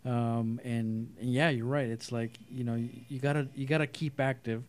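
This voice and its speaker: A man hosts a casual interview with a voice at an ordinary middle pitch. He talks in a relaxed, conversational way and keeps affirming what his guest says. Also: normal speed. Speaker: interview host.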